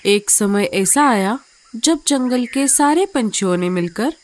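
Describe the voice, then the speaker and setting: A woman narrates a children's animated story in a fairly high voice. Her delivery is scripted storytelling, moving plainly from scene to scene. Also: measured pace, faint music behind.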